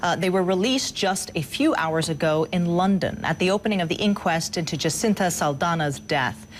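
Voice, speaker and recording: A woman with a fairly low voice presents live news as an anchor. She reads and asks questions in broadcast style, with noticeable noise behind her. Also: animated, moderate pace.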